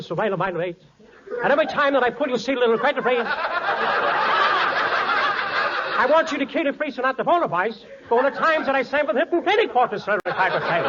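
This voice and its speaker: Brisk director: very high, rattling double-talk, noisy old radio comedy recording. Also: male voice. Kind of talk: 1940s radio comedy acting. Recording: noisy muffled 1940s radio recording, clicks.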